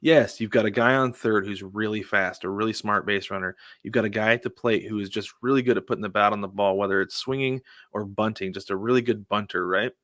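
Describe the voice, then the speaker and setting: A man's voice: lowish voice, steady tone, brisk, few pauses, fairly bright tone, no room sound, studio quality. A man co-hosting a sports podcast, telling casual stories and acting out what people said. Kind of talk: casual baseball chat with anecdotes.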